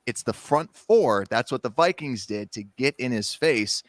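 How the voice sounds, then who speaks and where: A man's voice: mid-range voice, animated, dry recording. Man, podcast guest, easygoing and agreeable, laughs often, dry close sound. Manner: agreeable, quick to affirm, laughs easily. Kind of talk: casual sports podcast chat.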